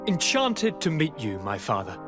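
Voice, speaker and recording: A young aristocrat of a fantasy game, breezy, affectionate and theatrical. His fairly high voice swings dramatically up and down through each polite phrase. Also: huge pitch swings, moderate pace, over music. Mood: courteous. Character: young noble male governor, affectionate, flippant, ailing. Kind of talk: fantasy video game acting.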